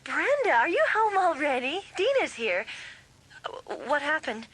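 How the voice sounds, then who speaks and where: A woman's voice: high-pitched, sing-song, unhurried, fairly bright tone, heavily acted. A woman playing an inquisitive, startled teenage girl in a dramatised audiobook. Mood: surprised, curious.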